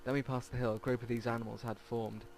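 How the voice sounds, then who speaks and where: A man's voice: medium pitch, level tone, quick pace, gravelly, darkish tone. A man hosting a let's play, reading visual novel text aloud and stumbling over words now and then. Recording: game music behind the voice. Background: game music.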